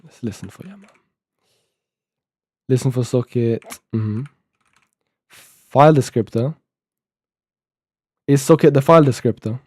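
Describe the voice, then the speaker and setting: A man's voice: medium pitch, unhurried, long pauses, darkish tone, dry recording, clean studio sound. An eighteen-year-old man streaming live, thinking aloud and half-reading documentation to himself as he codes, with a sore throat. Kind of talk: casual live-stream talk while coding.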